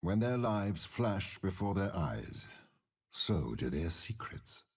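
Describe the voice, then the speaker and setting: Casting: aging game warlord, extremely low husky voice, slow, calculating, commanding and contemptuous. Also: male voice, very animated, a little mellow, full character voice. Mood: cold, scheming. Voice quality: gravelly, husky. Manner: commanding, measured, disdainful. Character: cold, cunning old male warlord general and schemer. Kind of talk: dramatic game character voice lines.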